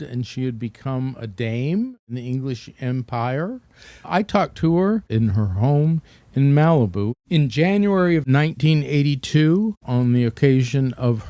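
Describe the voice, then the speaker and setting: Mellow man narrating, very dry sound. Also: mid-range voice, no room sound. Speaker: journalist introducing his archived interview. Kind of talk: spoken introduction narration.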